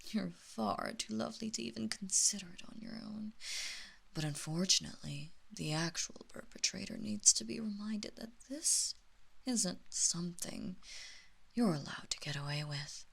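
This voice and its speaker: A woman playing an imperious, coolly menacing vampire mistress in a roleplay audio drama, speaking formally and condescendingly, at times dropping into a breathy whisper. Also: medium pitch, expressive, fairly bright tone, heavily acted. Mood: coldly menacing. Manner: slow, deliberate, formal, condescending.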